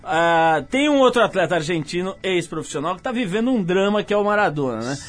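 A man's voice: high-pitched, animated, fast talker. A man hosting a casual radio talk show, joking and chatting loosely with his guest. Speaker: radio host.

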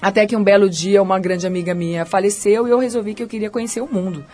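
A middle-aged woman with a Carioca accent, a chef and restaurant owner talking about her life as a guest on a radio interview, as a lively storyteller. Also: quick pace. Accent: Carioca Brazilian Portuguese. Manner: lively storyteller, pitch swinging high and low.

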